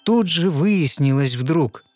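Male narrator, slightly high voice, rhythmic verse storytelling, measured delivery, music underneath. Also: expressive, a little mellow, no room sound. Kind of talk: scripted verse storytelling, fairy-tale audio play.

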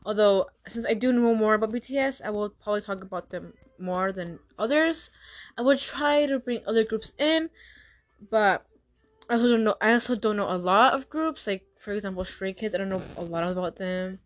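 A young woman hosting a casual solo podcast, talking fast with frequent stutters and restarts. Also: mid-range voice. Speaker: podcast host.

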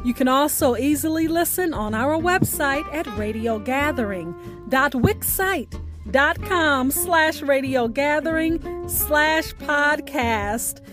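A woman podcast host reading a scripted sign-off in deliberate, measured phrases with emphatic words. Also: high-pitched, animated. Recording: music playing behind the voice.

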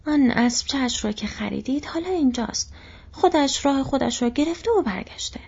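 Fussy old innkeeper, rambling and flustered, read by a woman, husky and raised, muffled lo-fi audio. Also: high voice, expressive, a little mellow, voice pushed up, exaggerated voice. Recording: dull lo-fi recording.

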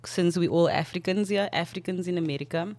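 A woman's voice: fairly low pitch, fairly quick, darkish tone, slight background noise. Bold, teasing young woman. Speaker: podcast co-host. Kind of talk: casual chat.

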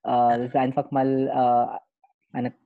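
A young man hosting a podcast, opening with a soft spoken introduction and then chatting with many fillers. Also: medium pitch, flat delivery, vocal fry, phone-quality sound. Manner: soft, slow intro over music, then chatty and halting. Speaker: podcast host. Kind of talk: podcast interview with narrated intro.

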